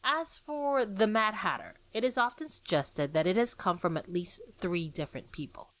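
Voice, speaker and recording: A woman hosting a podcast, reading a scripted narration on art history in a lively, explanatory way. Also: mid-range voice, normal speed, dry recording. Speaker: podcast host.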